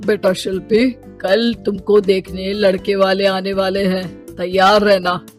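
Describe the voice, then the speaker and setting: A woman's voice: medium pitch, little pitch movement, unhurried, soft background music, lightly acted. A woman voicing a stern, status-proud rich father, commanding and dismissive, in a cartoon story. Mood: firm.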